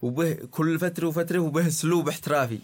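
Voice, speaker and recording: A man with a husky voice and a Yemeni accent, answering interview questions informally in halting, repetitive phrases. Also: a little high, darkish tone. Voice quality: husky, hoarse-tinged voice. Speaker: singer, interview guest.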